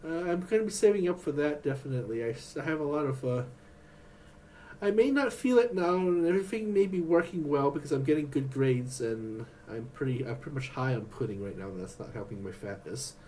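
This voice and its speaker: Rambling, halting man with a higher voice. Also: animated, dark tone, slight background noise, rough recording. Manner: halting, many restarts and fillers. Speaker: vlogger. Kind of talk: rambling personal vlog.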